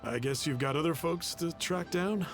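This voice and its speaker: A man voicing a reserved but helpful townsman in a video game, measured and plain-spoken. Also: a little high, faint music behind, natural voice.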